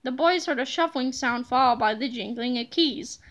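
Female storybook reader for children; very high pitch, bright, forceful tone. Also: moderate pace. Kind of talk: children's book read-aloud.

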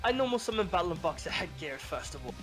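A man's voice: high voice, sing-song, fast, fairly bright tone, faint music behind. A young man talking casually in character as he announces his moves in a card game roleplay. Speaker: opposing player in a card duel roleplay. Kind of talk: casual in-character gameplay talk.

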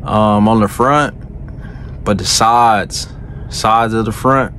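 A man's voice: lowish voice, fairly even intonation, slow, a bit bright, slight background noise. A man in his thirties, a truck driver vlogging late at night in his cab, talking in a laid-back, slangy way into a phone held close. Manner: laid-back, slangy, keeps saying "you know what I'm saying". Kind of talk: casual late-night vlog. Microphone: phone held close at arm's length.

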